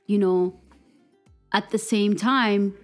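A woman interviewing on a podcast, chatty and encouraging, with quick interjections. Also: medium pitch, steady tone, soft background music. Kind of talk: casual podcast conversation.

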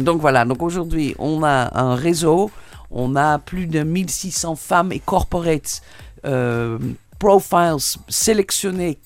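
A woman from the Netherlands with a Dutch accent, explaining steadily with frequent hesitations as a guest in a radio studio interview. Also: deep voice.

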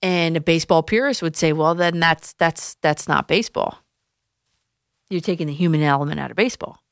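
A woman's voice: low voice, a little fast, creaky, no room sound, studio quality. A woman hosting a sports talk radio show, explaining her points conversationally with occasional restarts. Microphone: studio microphone.

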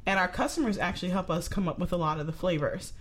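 A woman's voice: fairly low pitch, fast talker. A woman speaking as a business-owner guest in a casual interview, informal and full of anecdote.

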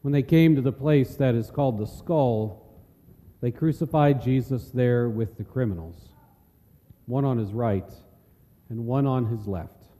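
Very dark-voiced man reading scripture. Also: mid-range voice, unhurried. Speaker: narrator and scripture reader. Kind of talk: solemn dramatic scripture reading. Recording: long reverb of a large hall.

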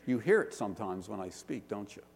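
A pastor from the Pittsburgh area with a Western Pennsylvania accent, preaching earnestly, asking rhetorical questions and stressing slow lists. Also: male voice, lowish voice, expressive, creaky, a little mellow, a little hiss or hum. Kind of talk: sermon. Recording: long reverb of a large hall.

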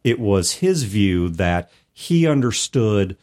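A man's voice: lowish voice, big pitch swings, slow, darkish tone. A man hosting a casual podcast discussion about tabletop gaming, explaining at length in a reflective way with hedging asides. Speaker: podcast host. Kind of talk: casual discussion of tabletop role-playing games.

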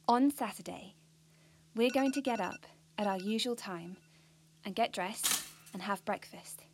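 An actress playing an earnest, bookish, ambitious teenage schoolgirl with a British accent, confiding in a precise way in an audio drama monologue. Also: fairly high pitch.

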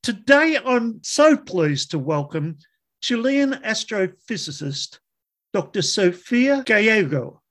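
A fairly high-pitched man hosts a science interview show. He reads his introductions with bright enthusiasm and asks his questions in a lively, upbeat way. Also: takes it slowly. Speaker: podcast host.

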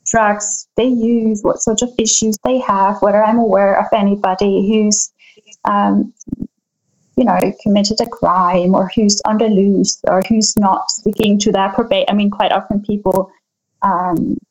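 A woman's voice: mid-range voice, little pitch movement, measured pace, a little mellow, poor audio. Hesitant woman researcher on a panel. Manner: thoughtful, hesitant with many fillers. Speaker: panel guest, field researcher. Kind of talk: panel discussion answer.

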